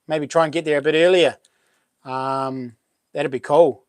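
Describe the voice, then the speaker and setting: A middle-aged man with a New Zealand accent and a boomy voice, hosting a relaxed livestream chat, rambling with many ums and restarts as he reads out viewer comments. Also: a little slow, dry recording, studio quality. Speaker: livestream host. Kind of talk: casual live chat reading comments.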